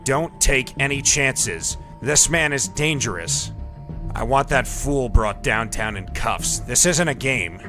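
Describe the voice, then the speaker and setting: An actor playing a short-tempered, no-nonsense police captain, blunt and commanding, in a comic-book audio drama. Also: male voice, medium pitch, expressive, slow pace, pushed and bright, faint music behind, exaggerated voice.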